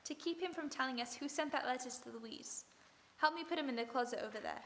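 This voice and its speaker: A woman playing a composed, sharp detective in an old-time radio drama, cool and in command. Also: sits high, quick pace.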